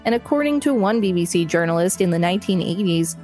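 A woman's voice: fairly low pitch, lively intonation, quick pace, mellow, soft background music. A woman podcast co-host reading an article aloud, with casual asides.

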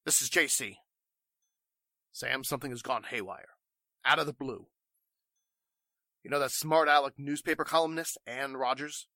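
Actor as a sharp-voiced, agitated crook. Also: male voice, mid-range voice, normal speed, long pauses, no room sound, clean studio sound. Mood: tense, annoyed. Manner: agitated, folksy slangy talk. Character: scheming crooked man reporting trouble to an accomplice. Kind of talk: 1940s radio drama acting.

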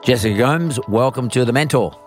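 A man's voice: mid-range voice, a little fast, faint music behind. A middle-aged man hosting a podcast interview, chatty and rambling, often restarting his sentences. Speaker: podcast host. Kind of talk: conversational interview.

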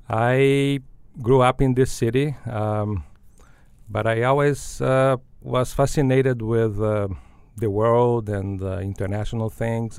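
Older man, smooth low voice. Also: a little mellow, no echo. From Brazil. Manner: thoughtful, many fillers and restarts. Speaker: guest, business school dean. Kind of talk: conversational interview answers.

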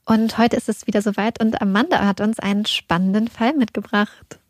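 A woman's voice: medium pitch, fairly even intonation, brisk, dry recording, clean studio sound. A young adult woman co-hosting a podcast, chatting casually and telling self-mocking anecdotes. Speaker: podcast co-host. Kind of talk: casual chat. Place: podcast studio in Berlin.

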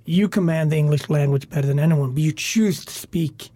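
Man, from Sweden, co-host, husky, mellow, enthusiastic, dry sound. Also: a little high, brisk, dry recording. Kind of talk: conversational interview.

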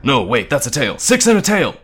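A man voicing a frustrated, hot-headed monster fighter in a fantasy story, urgent and shouting, over music. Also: medium pitch, huge pitch swings, brisk, slightly rough, pitched above the performer's own voice, full character voice. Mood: urgent yelling.

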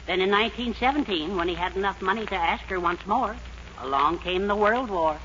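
A man's voice: high-pitched, fairly quick, pushed and bright. An actor playing a genial, wry, middle-aged fatherly American man in a 1940s radio comedy. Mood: wry, advising. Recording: narrow-band old radio recording, faint noise.

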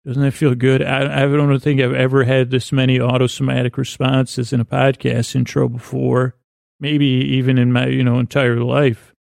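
Creaky-voiced man rambling a bedtime monologue. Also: medium pitch, flat delivery, darkish tone, dry recording, studio quality. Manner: rambling, halting, circling back on himself; lulling, soothing tones. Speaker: podcast host. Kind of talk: meandering bedtime monologue to help listeners sleep.

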